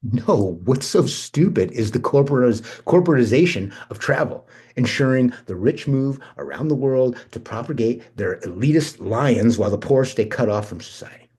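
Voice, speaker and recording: A man's voice: mid-range voice, expressive, normal speed, hardly any pauses, a little mellow, slightly rough. A man acting a brash, restless, irreverent young slacker in a casual, naturalistic table read. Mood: indignant. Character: brash, restless, fast-talking slacker cousin, scheming and irreverent.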